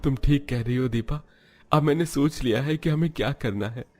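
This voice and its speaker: A man acting an earnest, caring young husband and father in an animated family drama. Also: mid-range voice, moderate pace, lightly acted. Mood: resolved.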